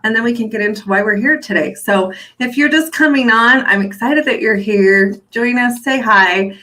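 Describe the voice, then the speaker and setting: Bright, upbeat woman host. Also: fairly high pitch, normal speed. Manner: enthusiastic, filler-heavy introductions. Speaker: live show host. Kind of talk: casual live-stream hosting.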